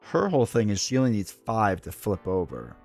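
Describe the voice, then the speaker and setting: A man's voice: lowish voice, huge pitch swings, fairly quick, a little mellow, soft background music. A man with a stuffed-up cold, chatting in a rambling, casual way while he streams a solo board game live from home. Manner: rambling, chatty, full of asides and fillers.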